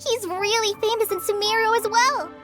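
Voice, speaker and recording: A woman's voice: very high voice, fairly even intonation, moderate pace, over loud music. A woman voicing a sweet, earnest teenage girl in an anime video game, with a girlish tone. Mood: eager. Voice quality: youthful, girlish.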